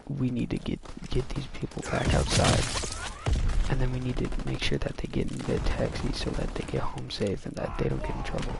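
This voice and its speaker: Gravelly young man with animated pitch. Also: heavy background noise. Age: young adult. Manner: rambling, halting delivery. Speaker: storyteller. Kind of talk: casual personal storytelling.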